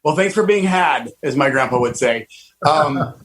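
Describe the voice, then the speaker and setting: A man in his fifties hosting a casual radio interview, enthusiastic and animated, with frequent fillers and restarts. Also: medium pitch, moderate pace. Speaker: host, car designer.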